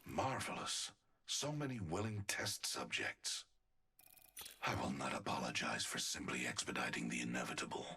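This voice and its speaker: A man voicing a cold, sadistic scientist villain in a video game, deliberate and condescending. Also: bright, forceful tone, exaggerated voice. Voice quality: very deep, raspy. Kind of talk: video game character voice lines.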